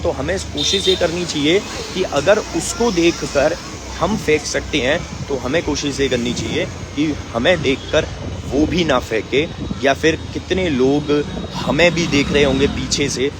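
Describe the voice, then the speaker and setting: A man's voice: a little high, heavy background noise. A man with a sore throat, hosting a live walking devotional stream and earnestly urging viewers in a conversational way.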